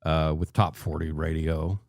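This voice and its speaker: A man's voice: extremely low pitch, little pitch movement, darkish tone, no room sound, studio quality. An older man hosts a conversational radio interview, often restarting his phrases as he goes. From American South. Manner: halting, repeats phrase openings. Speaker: radio show host.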